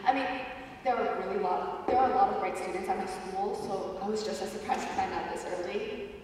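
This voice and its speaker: A young woman acting in an amateur stage play, lively and given to loud excited shouts, recorded from the audience in a theater. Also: mid-range voice, brisk. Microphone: far audience camera. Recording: echoey hall from far off, faint noise.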